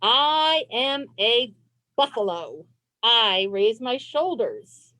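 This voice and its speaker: A woman librarian reading a children's picture book aloud in an expressive, encouraging way. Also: fairly high pitch, drawn-out words, dry recording. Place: indoors on a video call.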